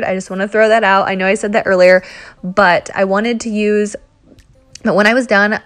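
A woman's voice: medium pitch, a bit bright. A woman in her thirties chatting through a home cleaning vlog voice-over, talking straight to her viewers. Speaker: cleaning vlogger. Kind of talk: casual voice-over narration.

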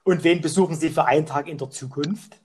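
A cheeky, boozy pub philosopher captain hosts a comic quiz, played by a man. He teases and jokes in a slightly high voice. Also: a little fast, darkish tone, breathy edge. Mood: joking. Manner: playful, joking, teasing. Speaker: host. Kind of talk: comic phone interview quiz.

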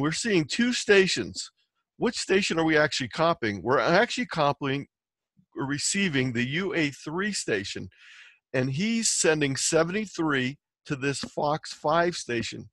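A man's voice: medium pitch, a bit bright, no echo. A man giving an instructional slide presentation, explaining things step by step as a teacher would. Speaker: presenter teaching amateur radio software.

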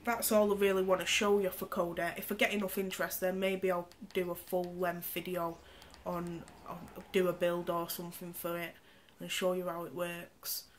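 A woman reviews a gadget in a chatty, explanatory way. She sounds congested and a bit nasal from a cold. Her voice sits low and stays fairly flat. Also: moderate pace, slight background noise. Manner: chatty, explains specs while demonstrating. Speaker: tech reviewer. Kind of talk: casual product review. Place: indoor room, camera on the screen.

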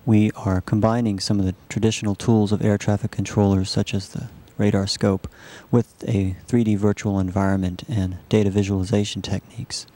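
A man narrating a software demonstration as a researcher, explaining it in a halting, measured way. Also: fairly low pitch, little pitch movement. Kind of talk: live demo narration of a computer animation.

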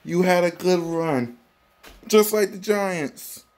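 An American man in his thirties giving a mocking, repetitive, drawn-out sports fan taunt, recorded on a phone indoors. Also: high voice, a little mellow. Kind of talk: taunting fan vlog, casual trash talk. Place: small room indoors at home. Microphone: phone at arm's length.

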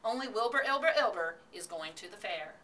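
A woman's voice: medium pitch, expressive, a little fast, bright, forceful tone, recorded from a distance, slight background noise, voice pushed up. A woman voicing a bossy storybook mother goose who snaps and repeats her words. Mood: snappish. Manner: snapping, stuttering word repetition.

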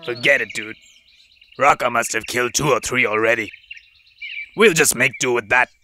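Gravelly, dismissive wolf. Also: male voice, medium pitch, normal speed, bright, forceful tone. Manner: slangy, dismissive. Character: sceptical, lazy, sneering male wolf sidekick. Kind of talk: animated cartoon character acting. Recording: faint noise with birdsong.

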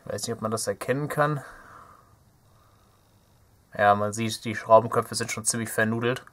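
A man's voice: fairly low pitch, moderate pace, fairly bright tone, slight background noise. A young man giving casual hands-on commentary as he works at an indoor bench, thinking aloud and muttering playfully to himself. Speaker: DIY electronics video host. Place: indoor workbench.

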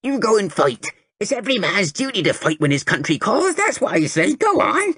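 A shrill, croaking toothless old woman, as a male storyteller puts her on, her voice cracking high with scorn. She jabs out short, needling taunts. The reading is dry and close to the mic. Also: big pitch swings, normal speed, fairly bright tone, no echo, exaggerated voice. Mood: jeering.

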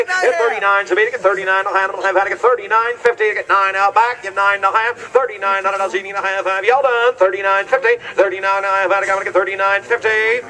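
A man with a high voice running an outdoor farm auction, calling rising bids in a fast rhythmic chant and tossing jokes to bidders. He sounds distant, picked up from inside a large murmuring crowd. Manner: rhythmic, rising bid calls with banter.

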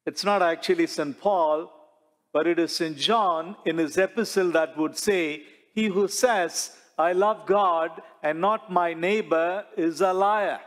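A middle-aged man preaching a homily as a priest, slow and measured, building his points with repeated rhetorical questions. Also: high-pitched. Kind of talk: sermon. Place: large church sanctuary before the altar. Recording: long church reverb.

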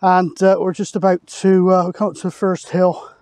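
A man's voice: high-pitched, level tone, normal speed, darkish tone, dry recording, clean studio sound. A British man in his forties chatting casually to camera as a vlogger, recorded outdoors on an open hilltop. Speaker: vlogger, CB radio hobbyist. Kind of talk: casual outdoor vlog talk.

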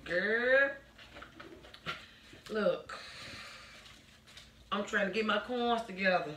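A woman's voice: medium pitch, drawn-out words, bright, forceful tone. A middle-aged woman presenting a video announcement, recorded indoors on a camera mic a few feet away. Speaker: presenter promoting a stage play. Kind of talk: video announcement and playful home skit. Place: indoor home room. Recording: echoey room, slightly distant, noisy.

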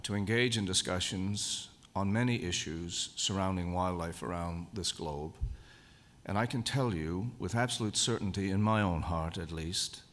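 A man with a very low voice delivers a formal lecture to a convention audience. He speaks in a measured, deliberate way and leans on key words for emphasis. His voice rings with the long reverb of a large hall. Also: a bit bright.

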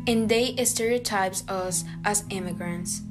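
Guatemalan-born student, reads evenly at a middle pitch over guitar music. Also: female voice, unhurried. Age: young adult. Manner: earnest, steady reading. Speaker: student podcaster. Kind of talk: scripted reading.

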